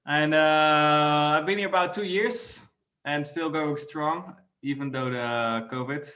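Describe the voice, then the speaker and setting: A man's voice: on the high side, slow, pushed and bright. A man of about thirty with a Dutch accent, a native Dutch speaker, chatting casually as a podcast guest. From Netherlands. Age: 30s. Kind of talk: casual chat.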